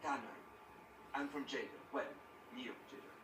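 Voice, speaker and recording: An actor playing a humble, sincere young farm-born recruit in a video game. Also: male voice, mid-range voice, sing-song, normal speed, lightly acted. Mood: sincere, shy. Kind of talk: dramatic game dialogue. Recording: roomy, distant, very noisy game capture.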